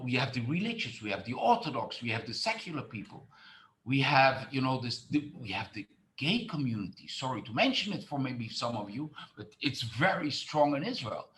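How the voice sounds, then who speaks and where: A man's voice: a little high, fairly bright tone, poor audio. A middle-aged man from the Netherlands, a tourism marketing expert who speaks with many fillers and restarts in an online presentation.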